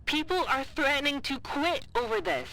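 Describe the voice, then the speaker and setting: Earnest research-site employee played by a woman: bright tone, very mobile pitch, casual and hesitant, podcast drama. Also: big pitch swings, moderate pace, heavily acted. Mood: concerned. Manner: hesitant, informal. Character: earnest, nervous site worker talking over a comms link. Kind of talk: audio drama acting, casual and naturalistic.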